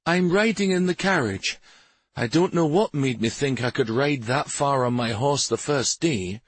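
A man putting on a rueful royal mother for a fantasy audiobook. The voice is lifted high above the narration and moves in lively swings. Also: measured pace, husky, dry recording.

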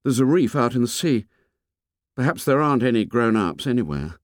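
A man's voice: mid-range voice, big pitch swings, a little fast, dry recording, studio quality, pitched above the performer's own voice, lightly acted. A man voicing an offhand, aloof fair-haired schoolboy.

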